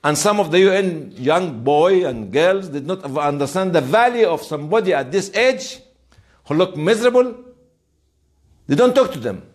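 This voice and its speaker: Animated man lecturing a class. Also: fairly high pitch, normal speed. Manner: emphatic storytelling with rhetorical questions. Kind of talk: informal interactive classroom lecture.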